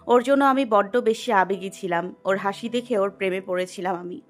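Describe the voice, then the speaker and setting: A woman's voice: mid-range voice, normal speed, natural voice. A woman playing a melancholy, heartbroken young elder sister in an audio story. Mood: wistful sadness. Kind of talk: Bengali audio story acting.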